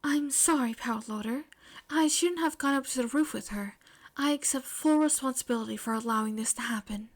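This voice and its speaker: A woman's voice: high-pitched, a little fast. A woman voicing a polite, bashful teenage schoolgirl in a story, formal and apologetic in manner. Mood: contrite.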